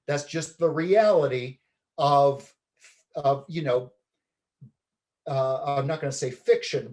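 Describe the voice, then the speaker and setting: A man from Canada, a documentary film director, giving a measured, reflective interview with many ums and pauses. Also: a little high, darkish tone.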